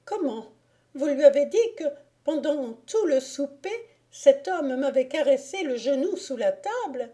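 A woman's voice: high voice, lively intonation, a little slow, warm tone, voice pushed up. A woman voicing a coy married lady who plays innocent and wronged in an affected manner, in a storybook reading. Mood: feigned indignation.

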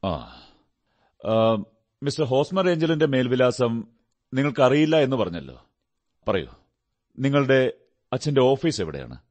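Radio drama detective: observant, condescending, deliberate and instructive, with animated, swooping intonation. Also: male voice, medium pitch. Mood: businesslike, advising. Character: brilliant, self-assured male detective, observant and condescending.

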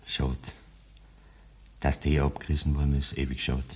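Deep-voiced Bavarian condemned poacher. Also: male voice, monotone, normal speed, a little mellow. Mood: wistful reminiscing. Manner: rustic, wistful, plain-spoken. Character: condemned Bavarian poacher, wistful rustic son. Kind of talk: 1970s German radio play acting.

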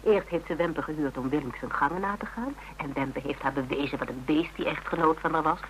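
Mocking radio-play sidekick to a cop: highish voice, sharp edge, conspiratorial and expressive. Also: male voice, brisk, a little hiss or hum, low-quality recording. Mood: animated, conspiratorial. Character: sharp, teasing, skeptical confidant of a policeman. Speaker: actor in a radio drama. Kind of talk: radio drama dialogue.

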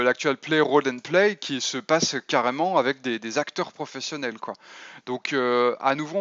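A man's voice: medium pitch, fairly bright tone, dry recording, lo-fi sound. A man giving a casual spoken essay as a podcast host, full of fillers and restarts. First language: French. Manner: hesitant, many fillers and restarts.

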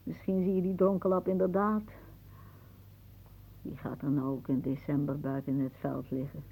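An actress playing a wry older aunt in a radio play, musing dramatically and haunted by death. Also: female voice, low voice, steady tone, moderate pace, dark tone, a little hiss or hum. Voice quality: husky. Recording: muffled, phone-like old radio recording.

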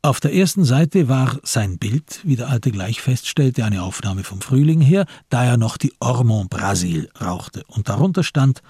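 A man's voice: mid-range voice, sing-song, unhurried, few pauses, mellow, breathy edge, no room sound, studio quality. A man narrating a radio crime drama in scripted, literary prose.

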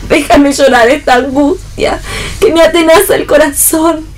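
A woman's voice: sits high, fairly even intonation, moderate pace, a little hiss or hum, natural voice. A woman playing a lovelorn, despairing rich daughter in a read radio play. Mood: anguished.

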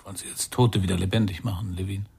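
A tired former policeman in a radio crime drama. He questions with sceptical persistence in a low, husky voice with a gravelly edge. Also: steady tone, fast talker, dark tone, full character voice. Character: weary male former policeman turned investigator, probing, sceptical. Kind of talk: 1990s German radio crime drama acting.

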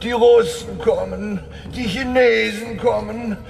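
A befuddled, fearful old man from a radio play, high and gravelly. He drags out each word in a plaintive wail, echoing through a cavern. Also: fairly even intonation, exaggerated voice. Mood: panicked. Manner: plaintive, drawn-out wailing, third-person self-reference. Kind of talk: German radio drama acting. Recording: long cavern reverb, music behind.

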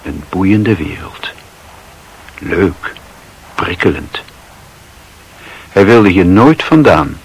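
A man narrating a literary radio play as a wry, observant storyteller with a husky voice. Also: low voice, expressive, drawn-out words, long pauses, exaggerated voice, poor audio. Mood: wry, measured.

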